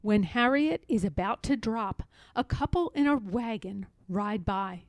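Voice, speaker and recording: A woman reading a children's storybook aloud in a measured, expressive, deliberate storytelling style. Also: mid-range voice, dry recording. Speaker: storybook reader. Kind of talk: expressive scripted read-aloud narration.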